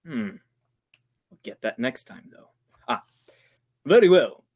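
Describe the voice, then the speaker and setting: Man playing a slow, theatrical radio actor. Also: mid-range voice, very animated, a little mellow. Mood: theatrical menace. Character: laid-back male radio actor rehearsing villain lines. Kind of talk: old-time radio drama acting.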